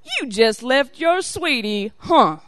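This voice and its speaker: A woman plays a male airport officer, an old soldier with a kind streak, in a storytelling piece. The delivery is gently concerned and reassuring, in a very dry recording. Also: extremely high pitch, big pitch swings, moderate pace, no room sound, pitched above the performer's own voice.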